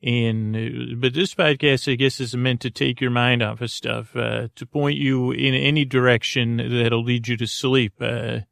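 A man with a creaky voice hosting a bedtime podcast, rambling in a halting, meandering monologue that trails off mid-thought. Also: mid-range voice, flat delivery, a bit bright, dry recording, clean studio sound. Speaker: podcast host.

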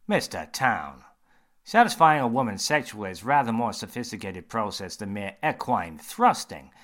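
A man voicing an eager, grinning male surgeon who talks brightly and bluntly. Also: lowish voice, big pitch swings. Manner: bright, emphatic, quick.

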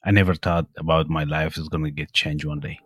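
A man's voice: rumbling low, level tone, fairly quick. A middle-aged man from Afghanistan with an Afghan accent, a Dari speaker recounting his own story plainly and directly. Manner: plain, direct storytelling with uh fillers and simple grammar. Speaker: former interpreter, guest.

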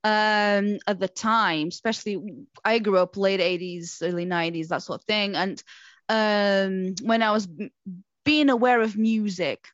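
A woman's voice: mid-range voice, measured pace, dry recording, highs cut off. A woman in her thirties from Portugal, hosting a podcast in a chatty, rambling way. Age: 30s. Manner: chatty, rambling with many fillers. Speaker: podcast host. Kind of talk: casual chat.

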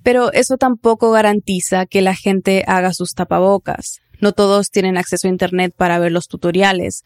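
A woman hosting a news podcast, narrating in a clear, explanatory way and asking follow-up questions. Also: mid-range voice, darkish tone, no room sound, clean studio sound. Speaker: podcast host.

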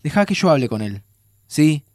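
An actor with an Argentine accent playing an anxious, guilt-ridden young time traveler, agitated and hesitant, in naturalistic audio drama. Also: male voice, fairly high pitch, sing-song, moderate pace, a little hiss or hum. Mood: apologetic, evasive.

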